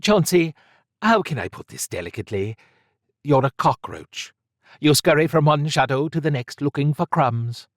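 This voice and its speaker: Man voicing a cool, sardonic woman agent, pitched up. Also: animated, normal speed, dry recording, lightly acted. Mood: cutting. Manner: pointed, dry, needling.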